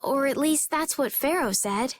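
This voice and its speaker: An actress playing a polite, earnest young maiden in a video game, with a soft, hesitant, courteous manner. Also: female voice, high voice, animated, moderate pace. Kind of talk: video game cutscene acting.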